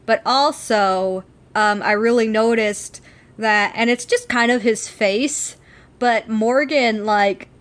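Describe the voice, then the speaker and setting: A woman's voice: fairly high pitch, slow, fairly bright tone. A woman co-hosting a podcast, discussing a TV show in a casual, enthusiastic, conversational way. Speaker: podcast co-host.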